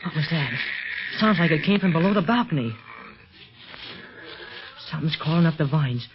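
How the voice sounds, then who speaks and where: A man's voice: on the high side, animated, moderate pace, background noise. A male actor playing a plucky, eager boy secret agent in a 1930s radio serial, speaking earnestly with boyish exclamations. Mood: hushed alarm. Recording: muffled 1930s radio recording.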